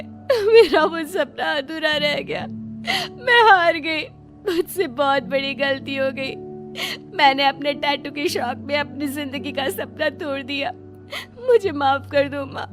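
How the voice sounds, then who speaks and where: A woman voicing a remorseful young daughter-in-law in an animated melodrama, crying and pleading. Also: high-pitched. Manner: wailing, pleading. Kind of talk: melodramatic animated story acting.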